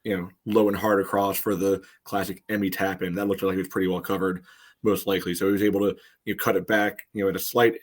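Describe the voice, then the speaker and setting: A man with a fairly low voice breaks down soccer in a casual panel style. He explains carefully and loosely at once, with frequent "you know" fillers linking his phrases. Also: little pitch movement, fast talker. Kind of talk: casual match analysis.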